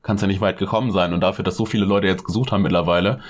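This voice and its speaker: Man, very low-pitched; casual podcast co-host, jokey and crude. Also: racing. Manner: joking, crude slang, lively asides. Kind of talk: casual true-crime podcast chat.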